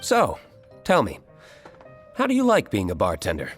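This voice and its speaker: A man's voice: on the low side, wildly swooping pitch, normal speed. A man voicing a reserved young male tavern owner, composed and curt. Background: faint background music.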